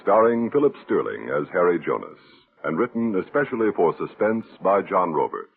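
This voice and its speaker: Male announcer, low gravelly voice, formal, dramatic, vintage radio, faint music, tinny band. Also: very animated, normal speed. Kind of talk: mid-century radio announcing, scripted credits.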